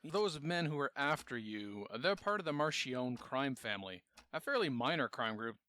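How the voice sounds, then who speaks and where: An actor playing a guarded, businesslike police captain in a fan audio drama, speaking in a matter-of-fact official way. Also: male voice, mid-range voice, animated, moderate pace, bright, forceful tone, no room sound.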